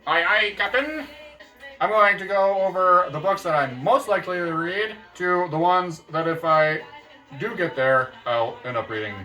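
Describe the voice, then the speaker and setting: A man with a bright, forceful voice talks through his reading list in a loose, jokey ramble, often stopping to correct himself. He sits back from the camera mic, so his voice sounds a little distant, and faint music plays underneath. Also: sits high, expressive, normal speed. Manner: jokey, meandering, self-correcting. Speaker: book vlogger. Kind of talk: casual rambling book chat.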